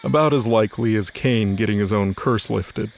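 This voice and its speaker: Narrator for a fantasy audio drama: male, lowish pitch, scripted storytelling. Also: normal speed, gravelly.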